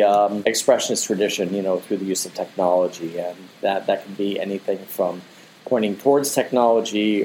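Low-voiced man explaining his art. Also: a little mellow, slight background noise, poor audio. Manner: rambling, repeats "the thing is" and "you know". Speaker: digital artist guest. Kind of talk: casual interview talk.

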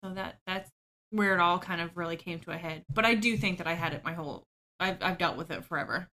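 A woman's voice: lowish voice, fast talker, sharp tone, slight background noise. A woman, a podcast guest who talks openly about her own life, often with small laughs and ums. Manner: open and self-deprecating, laughs easily, frequent ums. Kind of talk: candid personal storytelling.

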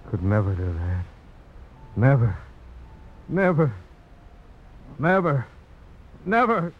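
A man's voice: mid-range voice, big pitch swings, slow pace. An actor playing a brave, proud frontiersman in a 1950s radio drama, with terse, earnest delivery. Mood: delirious, anguished. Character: brave, proud frontiersman and knife fighter, wounded. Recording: muffled narrowband old radio broadcast, faint hiss.

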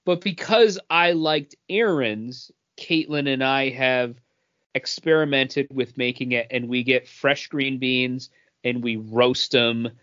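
A man's voice: mid-range voice, slow, a bit bright. A man co-hosting a podcast, casually telling anecdotes. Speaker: podcast co-host. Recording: dull, muffled audio.

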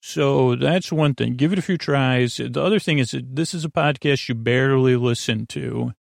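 A man hosting a bedtime podcast in a rambling, halting monologue full of digressions, with a gravelly, creaky voice. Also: mid-range voice, no echo, clean studio sound. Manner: meandering, halting, full of digressions and trailing thoughts. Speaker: podcast host.

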